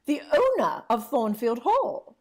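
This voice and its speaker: A woman's voice: sits high, big pitch swings, slow, darkish tone, full character voice. A woman playing a kindly, genteel elderly housekeeper in a vintage-style radio drama, with a fussing, exclamatory manner. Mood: kindly, chatty. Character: kindly, chatty elderly housekeeper, slightly deaf.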